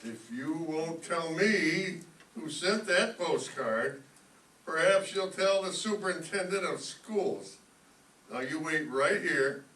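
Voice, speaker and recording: Man acting blustering principal, stern, higher-pitched male voice, pompous, old radio comedy, distant mic. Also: expressive, slow pace, sharp tone, full character voice. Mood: menacing. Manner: pompous, menacing formality. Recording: noisy, echoey stage, far from mic.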